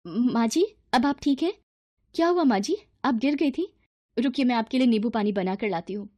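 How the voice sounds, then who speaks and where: A woman voicing a gentle young daughter-in-law in a moral story, polite and earnest in manner. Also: a little high, lively intonation, moderate pace, no room sound. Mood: concerned, caring.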